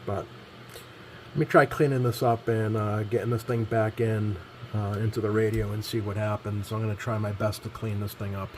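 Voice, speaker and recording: Halting man explaining repairs, noisy recording. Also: on the low side, little pitch movement. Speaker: radio repair hobbyist presenting. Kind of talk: casual explanatory repair vlog.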